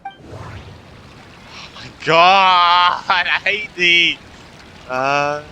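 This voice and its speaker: Bright-voiced man gaming casually. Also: sits high, drawn-out words, slight background noise. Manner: muttering, swearing reactions. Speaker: gamer playing through a story quest. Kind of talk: casual gameplay commentary.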